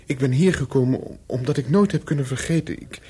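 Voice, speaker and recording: Husky, nervous, remorseful lover. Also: male voice, medium pitch, dark tone. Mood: pleading. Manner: halting, earnest. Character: nervous, remorseful antique dealer seeking an old lover. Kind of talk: radio play acting, dialogue and inner monologue.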